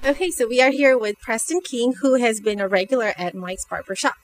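A woman in her thirties hosts a local TV interview, her higher voice carrying an upbeat presenter tone. She speaks into a handheld mic inside a small barbershop. Faint music plays underneath. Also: fairly quick, low-quality recording. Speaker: interviewer host.

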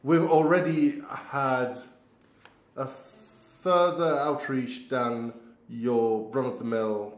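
A man hosting a podcast in casual, halting talk with a dry, teasing streak. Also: medium pitch, steady tone. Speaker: podcast host. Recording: roomy, echoing sound.

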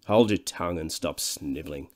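A man's voice: rumbling low, moderate pace, darkish tone, voice pushed down. A man voicing a contemptuous, domineering scientist in an audiobook, blunt and scornful. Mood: stern. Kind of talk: audiobook character dialogue.

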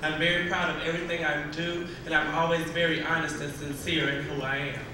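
A man answering a pageant question on stage in a measured, earnest, formal way. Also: fairly high pitch, little pitch movement. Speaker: pageant contestant. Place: large stage in a hall. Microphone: handheld mic through hall speakers. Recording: echoey hall taped from far off, noisy.

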